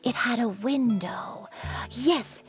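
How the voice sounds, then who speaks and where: A woman playing a gentle, forgetful elderly grandmother in a game, speaking softly and hesitantly. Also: fairly high pitch. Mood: wistful. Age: older. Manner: slow, soft, wistful, hesitant. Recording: game audio with faint music.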